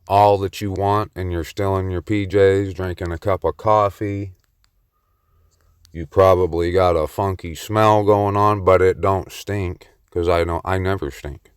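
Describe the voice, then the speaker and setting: A man's voice: sits low, steady tone, slow pace, clear, resonant tone, no room sound, studio quality. A man speaking alone on the mic in a rambling monologue, boastful and playfully cocky, with a meandering delivery. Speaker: solo vlogger on the mic. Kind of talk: rambling self-recorded monologue.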